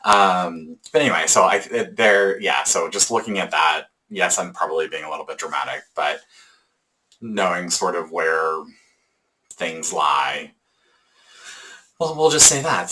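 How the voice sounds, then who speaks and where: Chatty deep-voiced man hosting a knitting podcast. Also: expressive, normal speed, bright, forceful tone, poor audio. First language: English. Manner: rambling, chatty, many ums and restarts. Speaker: podcast host. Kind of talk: casual podcast chat.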